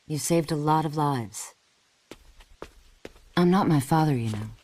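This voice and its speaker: A woman's voice: low voice, lively intonation, measured pace, mellow. An actress playing a sincere, idealistic young noblewoman rebel in a video game. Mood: sincere, wistful. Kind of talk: animated video game cutscene acting.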